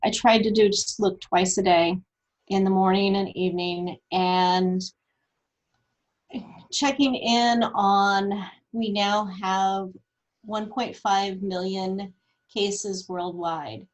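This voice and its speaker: A woman presents information in an informal, unscripted way. Her pace is very unhurried and her pitch sits in the middle. She halts and thinks aloud as she works through it. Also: steady tone. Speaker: guest presenter explaining data; cancer research nurse. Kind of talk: informal data walkthrough.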